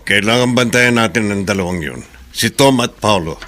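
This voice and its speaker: A man's voice: on the low side, moderate pace, music in the background, natural voice. A man playing a suspicious, commanding police chief in a horror radio drama, firm and authoritative.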